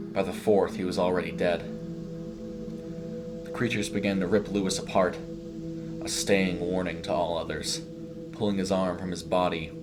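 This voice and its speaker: A man reading a scripted horror short story as its narrator. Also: deep voice.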